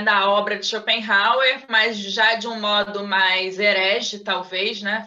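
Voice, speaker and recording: A woman with a Rio de Janeiro accent carrying Salvador traces, a philosopher answering interview questions in a measured, academic way. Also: mid-range voice, sharp tone, highs cut off. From Brazil, Salvador and Rio de Janeiro. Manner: measured, academic, tags phrases with "né". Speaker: guest, philosopher and psychoanalyst.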